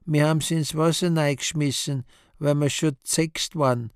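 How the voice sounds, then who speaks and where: A man's voice: fairly high pitch, steady tone, moderate pace, smooth, no echo, studio quality, close to an everyday voice. A man voicing naive child angels who speak by rote in a drawling, sing-song way with odd stresses.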